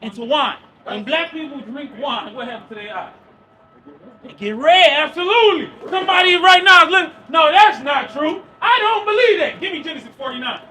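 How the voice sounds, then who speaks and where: A man preaching loudly outdoors on a city street. He quizzes the crowd with questions and then answers them himself. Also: very high-pitched, fairly quick. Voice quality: loud and projecting, near yelling. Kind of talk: loud open-air street preaching. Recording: far from the mic with street noise.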